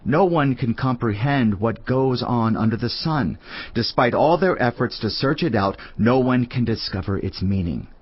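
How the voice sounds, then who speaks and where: A solemn, husky teacher of wisdom. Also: male voice, mid-range voice, lively intonation, muffled recording. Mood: solemn, reflective. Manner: measured, declamatory, earnest. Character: male teacher of wisdom, reflective, weary, solemn. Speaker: scripture narrator. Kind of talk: dramatised audio Bible reading. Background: music.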